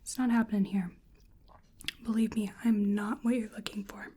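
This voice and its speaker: A woman with a soft, breathy, often whispery voice doing ASMR roleplay as a bewildered, polite researcher, speaking hesitantly to the listener. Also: mid-range voice, little pitch movement, moderate pace. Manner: hesitant, murmuring to an unseen listener. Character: bewildered, polite female researcher examining a creature.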